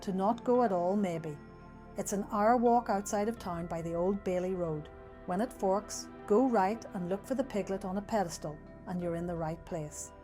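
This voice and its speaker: Guy doing a smooth honeyed irish barmaid, way higher than his own voice, playful and dramatic, music behind. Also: moderate pace, mellow, close to an everyday voice. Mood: stern warning. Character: perky Irish barmaid, young woman, teasing storyteller.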